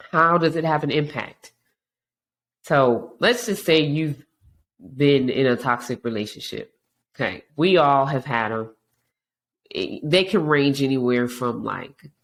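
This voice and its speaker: Stuffy-voiced woman hosting a podcast. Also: rumbling low. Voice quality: stuffy, congested. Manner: frank, conversational, many restarts. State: allergies. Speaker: podcast host. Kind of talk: casual podcast monologue.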